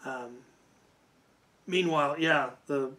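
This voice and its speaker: Higher-pitched man telling a casual story. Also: slow, sharp tone, a little hiss or hum. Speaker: host. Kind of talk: casual storytelling of a tabletop game campaign.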